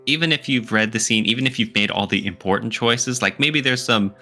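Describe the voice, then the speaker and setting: A man co-hosting a podcast, talking casually and jokingly in an animated, teasing, argumentative way. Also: mid-range voice, a little fast, soft background music. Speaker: podcast co-host. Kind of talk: casual, joking opinion talk.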